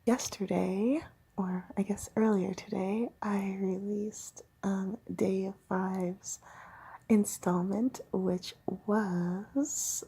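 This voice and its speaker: A woman hosting ASMR, chatting softly in a gentle, intimate murmur, sometimes dropping into a whisper. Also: medium pitch, steady tone, very slow, slightly rough. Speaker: ASMR host. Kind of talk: soft-spoken ASMR chat.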